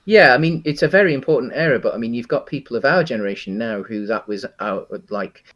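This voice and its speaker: A British man co-hosting a casual discussion of films and games, speaking in a halting way with frequent pauses mid-sentence. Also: medium pitch, expressive, fairly bright tone, lo-fi sound. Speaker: podcast co-host.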